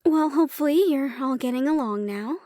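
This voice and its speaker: A gracious, loyal young lady , played by a woman, in a fantasy audio drama. Her voice is high, recorded dry and close. She speaks politely and gently. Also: fairly quick, no echo, close to an everyday voice. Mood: firm. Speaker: voice actor. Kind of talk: romantic fantasy audio roleplay acting.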